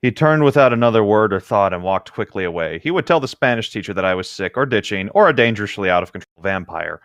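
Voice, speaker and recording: A man's voice: on the low side, lively intonation, fast, a bit bright, dry recording, clean studio sound. A man reading novel narration aloud as a co-host, dropping into casual asides. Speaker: co-host of a comedic read-aloud show.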